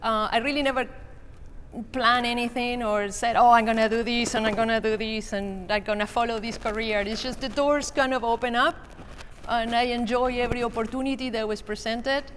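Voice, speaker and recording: A woman's voice: fairly high pitch, steady tone, a little fast, a bit bright. A woman from Argentina with an Argentine accent, speaking as a panelist in casual talk, with many hesitant fillers. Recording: reverberant convention hall with faint noise.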